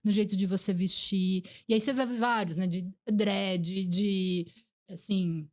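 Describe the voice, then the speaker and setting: A woman's voice: mid-range voice, steady tone, a little slow, a little mellow. A woman in her forties from Brazil, hosting a casual interview podcast and reflecting in a chatty way. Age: 40s. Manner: chatty, reflective, many fillers like "gente" and "né". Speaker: podcast host.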